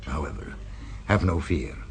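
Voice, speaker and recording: An actor playing a smooth, sinister restaurant owner in an old radio drama, speaking with measured formality. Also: male voice, rumbling low, expressive. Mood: suave. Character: suave sinister male restaurateur, commanding. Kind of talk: 1970s radio drama acting. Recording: old radio recording with faint hiss.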